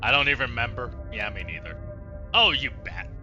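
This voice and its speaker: A man's voice: medium pitch, animated, a little fast, pushed and bright, lo-fi sound, soft background music. A man giving casual, sweary, sarcastic gaming commentary, talking back to the game as he streams. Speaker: let's play streamer.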